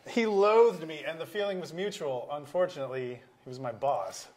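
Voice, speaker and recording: A man's voice: on the high side, normal speed, a little mellow, a little hiss or hum, close to an everyday voice. A man playing a wry, resigned middle-aged husband who jokes, in a naturalistic staged comedy reading. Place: auditorium stage.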